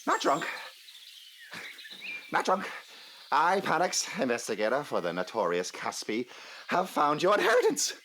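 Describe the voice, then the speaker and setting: Exuberant, wildly swooping investigator. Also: male voice, on the high side, huge pitch swings, measured pace, pauses often, bright, forceful tone, a little hiss or hum, heavily acted. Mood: triumphant, relishing. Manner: theatrical, emphatic, breaking into lists and repetitions. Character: exuberant, eccentric male investigator bursting with triumph. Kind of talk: audio drama acting.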